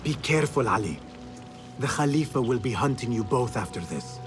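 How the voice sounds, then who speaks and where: A man's voice: medium pitch, lively intonation, moderate pace, music in the background, close to an everyday voice. Young assassin hero, male actor: mid-range voice, terse, resolute, for game cutscenes. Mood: serious, intent. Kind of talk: dramatic video game acting.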